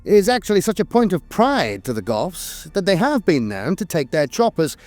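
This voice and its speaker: A man's voice: high voice, sing-song, normal speed, a little mellow, no echo. A man reading scripted fantasy lore narration in a dramatic, emphatic storytelling manner.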